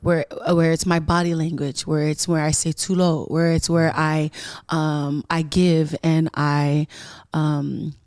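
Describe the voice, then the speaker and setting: A woman from Southern California, a musician guest on a podcast, talking earnestly and at length, often pausing on ums and rising into animated emphasis. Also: deep voice, clean tone, no room sound, studio quality. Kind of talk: casual podcast interview.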